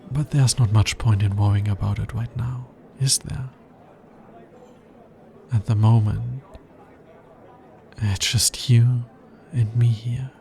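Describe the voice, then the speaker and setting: A man playing a tender, earnest alien scientist in an intimate ASMR romance roleplay, soft-spoken and measured. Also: lowish voice, gravelly, slight background noise. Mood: calm, affectionate. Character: smitten male alien scientist, earnest, tender, fascinated by humans.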